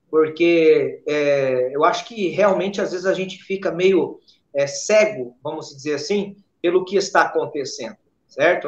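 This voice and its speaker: Male pastor interviewee, Brazilian accent, hesitant and halting, dull lo-fi sound with strong room echo. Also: fairly high pitch. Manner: hesitant, halting, with repeated verbal tags. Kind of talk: spontaneous interview answers. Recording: echoey, dull lo-fi interview audio.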